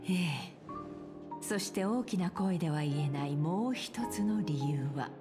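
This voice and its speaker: A woman's voice: on the low side, expressive, unhurried. An actress playing an elegant, composed senior businesswoman in a game, with a teasing, coaxing manner. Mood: sly, composed. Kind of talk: Japanese game voice acting. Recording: music behind the voice.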